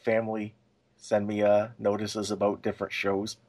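A man's voice: lowish voice, monotone, moderate pace, a little mellow. A man co-hosting a podcast, talking casually and explaining his thoughts in a conversational way. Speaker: podcast co-host.

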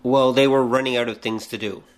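A man's voice: medium pitch, steady tone, fairly quick, noisy background. Middle-aged man chatting casually on a podcast. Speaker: podcast co-host. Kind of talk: casual conversational podcast chat.

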